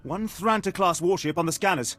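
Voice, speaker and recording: An actor playing an anxious, dutiful young bridge officer in a video game, reporting in clipped military phrases. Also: male voice, high-pitched, a little fast, fairly bright tone, faint music behind. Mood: tense.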